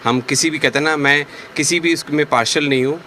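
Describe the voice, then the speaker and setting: Bright-voiced, polite man interviewed outdoors. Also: fairly high pitch, fairly even intonation, quick pace. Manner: noncommittal, polite, mixes in English words. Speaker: local market voter being interviewed. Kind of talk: casual street interview answers. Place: outdoors in a city market. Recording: faint outdoor traffic noise.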